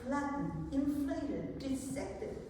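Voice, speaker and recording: A woman reading dramatic poetry as an anxious young enslaved woman awaiting her freedom, in a deliberate manner. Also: high-pitched, big pitch swings, warm tone, slight background noise, full character voice. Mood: anxious, pondering. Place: large indoor hall at a live event. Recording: very echoey hall from far off.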